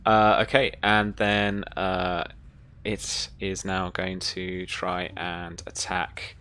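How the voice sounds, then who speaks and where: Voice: low voice, level tone, takes it slowly, fairly bright tone, clear, resonant tone. A game master running a tabletop roleplaying game, informal in manner, narrating the action.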